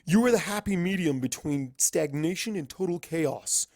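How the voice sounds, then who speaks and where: A man's voice: fairly high pitch, lively intonation, moderate pace, a little mellow, dry recording, studio quality, voice pushed up. A man voicing a blunt, challenging male pony scholar in a fan fiction reading. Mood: insistent, challenging.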